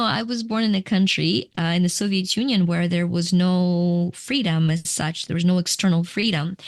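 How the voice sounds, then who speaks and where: Low, gravelly middle-aged woman from Ukraine. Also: dry recording, studio quality. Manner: reflective, explanatory, with small restarts. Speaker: guest, coach. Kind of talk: interview answers.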